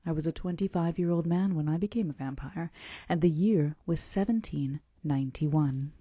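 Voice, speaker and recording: A woman reading the part of a calm, melancholy male vampire who tells of his past in a gentle, measured, confidential way. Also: deep voice, husky, dry recording, highs cut off. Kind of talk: audiobook-style character reading.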